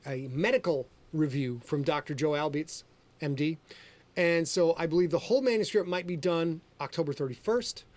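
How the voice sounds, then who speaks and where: A middle-aged man hosting a podcast livestream, joking and boasting in a showman-like way. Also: a little high. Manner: boastful, jokey, showman-like, addresses ladies and gentlemen. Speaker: podcast host and author. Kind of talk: casual livestream chat.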